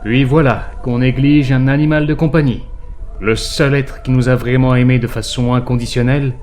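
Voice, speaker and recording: A man's voice: medium pitch, a little mellow, slight background noise, lightly acted. A man playing a grim, ominous narrator of a horror audio drama, solemn and literary in delivery. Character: grim, ominous male narrator warning listeners away. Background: faint music.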